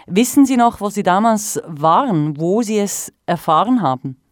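A woman's voice: mid-range voice, lively intonation, moderate pace, dry recording. Female interviewer with a mid-range voice, formal phrasing and prepared questions, recorded dry.

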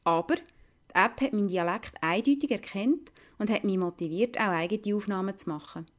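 A woman's voice: mid-range voice, moderate pace. A woman with a Lucerne Swiss German accent, narrating a scripted radio report as a reporter.

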